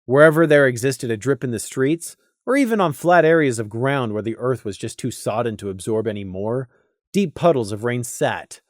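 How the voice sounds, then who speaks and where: Male story narrator, animated intonation, deliberate dramatic emphasis, medium pitch, dry recording. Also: no room sound. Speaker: audiobook narrator.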